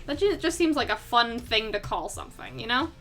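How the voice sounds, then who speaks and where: Bright-voiced young woman, rambling chat. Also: on the high side, big pitch swings, brisk. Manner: rambling, full of "like" fillers, laughs often. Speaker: artist streamer. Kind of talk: casual livestream chat with viewers. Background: faint background music.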